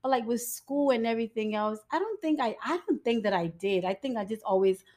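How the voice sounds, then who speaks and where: A woman's voice: a little high, brisk, darkish tone. A woman in her thirties from Ghana, a guest on a faith podcast, talking chattily and a little nervously with frequent giggles. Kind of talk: faith-based podcast interview.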